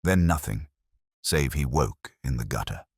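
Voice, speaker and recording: Man playing gruff, low police inspector. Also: animated, a little slow, no echo, studio quality, heavily acted. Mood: matter-of-fact. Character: irritable, reluctantly respectful male police inspector.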